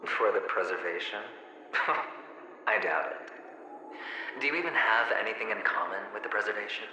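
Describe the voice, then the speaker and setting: A man's voice: fairly low pitch, lively intonation, measured pace, heavy reverb, in a large hall, background noise. An actor playing a smug young male gambler in a video game cutscene, taunting in a mocking, teasing drawl.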